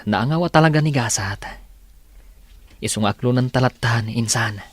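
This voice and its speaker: A man playing a warm, supportive young husband in an Ilocano radio drama, speaking with an Ilocano accent. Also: medium pitch, moderate pace, natural voice. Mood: reassuring, forgiving.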